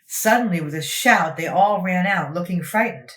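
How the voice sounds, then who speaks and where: A woman's voice: fairly low pitch, expressive, moderate pace, reverberant. A woman storyteller narrating a children's book expressively, as if for young listeners.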